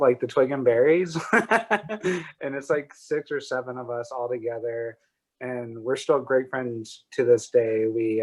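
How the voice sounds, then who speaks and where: A man who is a photographer, chatting casually as a guest on a video call, laughing often as he talks. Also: mid-range voice. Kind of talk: casual interview chat.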